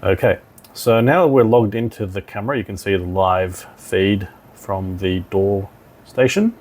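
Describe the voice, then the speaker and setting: A man giving an informal software tutorial, thinking aloud as he instructs step by step. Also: lowish voice, a little slow. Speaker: technical demonstrator. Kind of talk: software tutorial walkthrough, talking while clicking.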